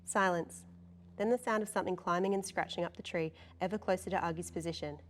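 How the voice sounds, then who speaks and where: A woman's voice: on the low side, steady tone, fast. A woman narrator reading scene descriptions and stage directions from a script.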